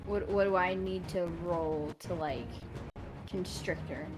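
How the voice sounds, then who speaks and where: A woman's voice: on the low side, slow pace, over music, rough recording. A woman chatting casually as a tabletop game player, thinking aloud in a hesitant way. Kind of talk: casual unscripted game play chat.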